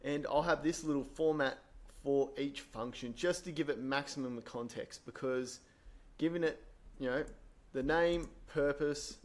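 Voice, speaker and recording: A man presenting a software tutorial in an informal, explanatory way, thinking aloud as he works through each step. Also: mid-range voice. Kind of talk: casual screen-share software tutorial.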